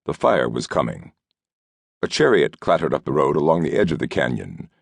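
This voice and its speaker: A man narrating an audiobook novel in a scripted storytelling style, with a gravelly voice. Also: rumbling low, very animated, moderate pace, no room sound.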